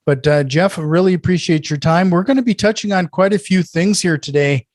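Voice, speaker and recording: Slightly high-voiced male podcast host. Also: brisk, a little mellow, no echo, studio quality. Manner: friendly, stumbles and restarts often. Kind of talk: casual interview.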